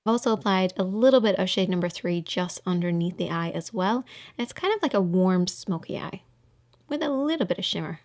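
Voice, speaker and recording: A woman's voice: fairly low pitch, lively intonation, brisk, a little mellow. Woman narrating a casual makeup tutorial. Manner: informal, step-by-step explaining.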